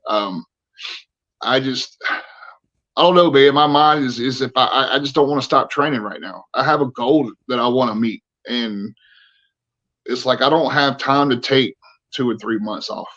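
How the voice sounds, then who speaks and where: A man in his forties talks candidly as a podcast guest, earnest and halting, often starting his sentences over. His voice sits at an ordinary pitch. The sound is a little muffled and dull. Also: a bit bright. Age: 40s. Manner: earnest, halting, many restarts. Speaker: interview guest, army veteran.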